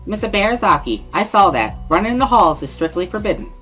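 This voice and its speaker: Playful, girlish high-school student performed by a man, raised pitch, music behind. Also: big pitch swings, fairly quick, bright, forceful tone, exaggerated voice. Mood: playful scolding. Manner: girlishly playful, delivering formal scolding. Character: playful girlish high-school girl, translating for her stern friend.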